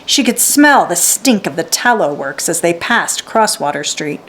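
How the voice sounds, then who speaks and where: Expressive woman storyteller with lively pitch. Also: unhurried, a little hiss or hum, low-quality recording. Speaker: read-aloud storyteller. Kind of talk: expressive children's storybook narration.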